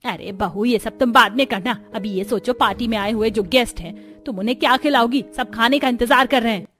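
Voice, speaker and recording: A woman's voice: fairly high pitch, a little fast, fairly bright tone, faint music behind, close to an everyday voice. A woman playing a sensible older mother-in-law who talks in a coaxing, familiar way. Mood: urgent.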